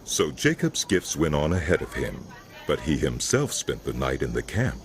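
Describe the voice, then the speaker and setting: A man narrating a scripted Bible drama in a solemn, measured storytelling manner, with music behind him. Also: extremely low pitch, very animated.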